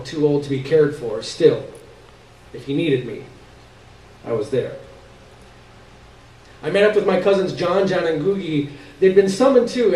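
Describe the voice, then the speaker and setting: A man's voice: a little high, dark tone. A man giving a live dramatic reading of first-person literary fiction on stage. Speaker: reader of a short story. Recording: echoey room, distant mic, background noise.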